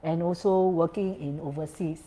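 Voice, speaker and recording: A woman's voice: fairly low pitch, fairly even intonation, normal speed, mellow. Laughing woman host. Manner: laughs often, mixes English with Mandarin. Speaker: feng shui consultant hosting an interview. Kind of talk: casual chat.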